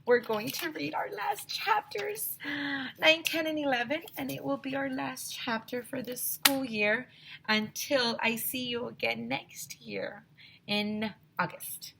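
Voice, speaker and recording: A woman's voice: high voice, slow pace, gravelly, a little hiss or hum, poor audio. A woman teacher reading a children's story aloud expressively and talking directly to her young listeners. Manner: animated, addresses children directly.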